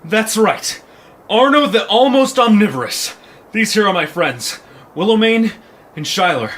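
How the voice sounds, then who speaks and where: A man's voice: high-pitched, expressive, measured pace, a bit bright. A man voicing a bossy, hungry, self-important troll in an audio drama. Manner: pompous, demanding. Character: bossy, hungry pizza troll leader, arrogant and picky. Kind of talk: cartoonish audio drama acting. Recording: faint background noise.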